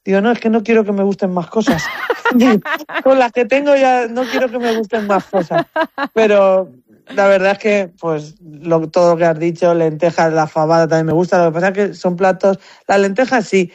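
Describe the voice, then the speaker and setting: A woman's voice: mid-range voice, normal speed, a little mellow, dry recording, lo-fi sound. A woman with a Spanish accent from Spain, talking casually and anecdotally as an interview guest, often repeating words as she thinks. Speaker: competitive shooter, interview guest. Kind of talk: casual conversational interview answers.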